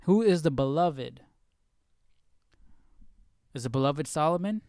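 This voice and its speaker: A man's voice: fairly high pitch, animated, unhurried, a little mellow, dry recording, studio quality. A man hosting a live stream with casual, informal commentary, musing aloud and repeating phrases as he thinks. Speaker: host of a live Bible study stream.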